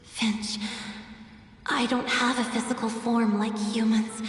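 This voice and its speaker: A woman's voice: a little high, level tone. Echoing, serene female water spirit. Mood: tender, solemn. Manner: tender, solemn, unhurried. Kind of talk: scripted video game voice acting. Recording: very echoey, distant reverberant effect.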